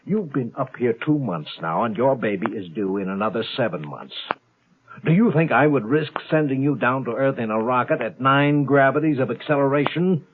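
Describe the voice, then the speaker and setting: A man's voice: medium pitch, animated, normal speed, slight background noise, close to an everyday voice. An American man playing a worried, brusque but caring military doctor on a space station, in 1950s radio drama style. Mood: exasperated, firm. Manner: exasperated, authoritative. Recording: muffled lo-fi 1950s radio recording.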